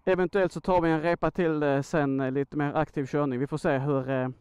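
A man's voice: fairly high pitch, fairly even intonation, a little mellow, smooth, no echo. A man from Sweden giving a casual, slangy vlog monologue, thinking aloud as he talks. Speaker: motorcycle vlogger, host. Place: outdoors on a motorcycle by a road.

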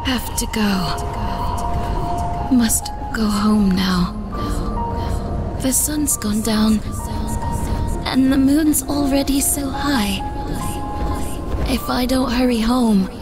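A woman voicing a gentle, dying young elf girl in a comic dub, murmuring softly and dreamily. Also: a little high, extremely slow, long pauses. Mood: weak, wistful. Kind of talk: dramatic comic dub acting. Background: music.

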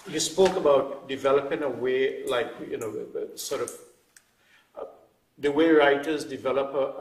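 Musing man in his fifties. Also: medium pitch. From Trinidad. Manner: thoughtful, hedging with many "sort of" restarts. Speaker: guest writer. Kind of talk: reflective interview talk. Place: wood-panelled indoor room. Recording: roomy sound from a distant mic.

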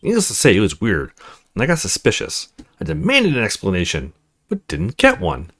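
A man voicing a cool, confiding married female neighbor from a visual novel, reading her dialogue expressively and in measured phrases. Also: on the low side, huge pitch swings, a bit bright, heavily acted. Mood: serious, explaining.

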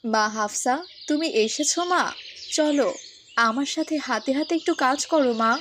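A woman playing a gentle, pious village mother in a cartoon moral story. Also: high-pitched, normal speed, a little hiss or hum. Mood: gentle, devout.

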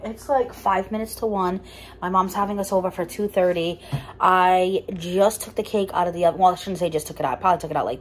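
A woman's voice: on the low side, slight background noise. Chatty young woman vlogging. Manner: chatty, lots of "like" and restarts. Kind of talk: casual vlog chat. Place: home kitchen.

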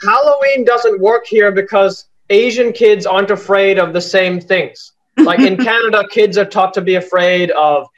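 High-voiced young man, deadpan stand-up. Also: normal speed. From Bangladesh. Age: young adult. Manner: deadpan, dry delivery with frequent uh fillers. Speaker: stand-up comic. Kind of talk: stand-up set on a video call. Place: small room indoors at home. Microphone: laptop webcam on a video call. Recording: muffled video-call audio.

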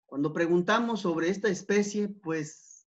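A man giving an academic talk over slides, unhurried, with many fillers and repeated words. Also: high-pitched. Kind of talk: conference presentation over slides. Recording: dull, lo-fi online talk.

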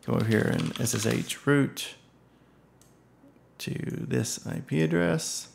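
A man giving a relaxed screen-recorded software tutorial, thinking aloud with many ums and uhs. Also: medium pitch, slow, creaky, slight background noise. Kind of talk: casual screen-recorded tech walkthrough.

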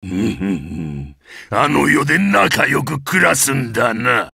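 A man voicing a brutal, sadistic giant golem warrior in a video game, with a rough, gravelly, coarse and taunting delivery. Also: medium pitch, big pitch swings, takes it slowly, pushed and bright, no echo, clean studio sound, exaggerated voice. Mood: gloating. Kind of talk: video game battle voice lines.

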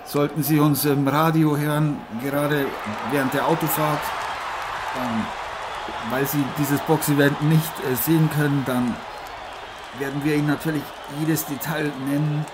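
A man's voice: on the high side, flat delivery, lightly acted. Man playing a dramatic boxing commentator over crowd noise. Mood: chatty, self-important. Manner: halting, dramatic, repeats himself. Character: excited, self-important male radio boxing commentator in Las Vegas. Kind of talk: improvised radio sports commentary. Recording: noisy, with arena crowd ambience.

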